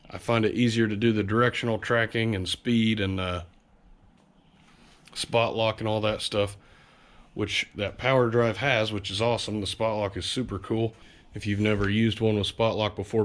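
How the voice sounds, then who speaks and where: A man with a cold, sniffling a little, who hosts an outdoors channel and talks casually through a vlog in his garage. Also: lowish voice. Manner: relaxed, conversational, with fillers. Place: home garage.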